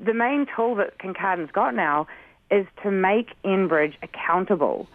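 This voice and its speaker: A man's voice: high-pitched, animated, measured pace, no echo. New Zealand man on a tinny phone line. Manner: earnest, frustrated, advocating. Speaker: community group spokesperson on a radio call. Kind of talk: earnest phone-in interview.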